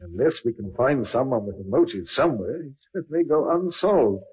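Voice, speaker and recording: A man playing a courteous English police inspector in an old radio drama, hesitant and polite but quietly probing. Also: medium pitch, expressive, moderate pace, husky. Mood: disarmingly casual. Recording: dull old radio recording.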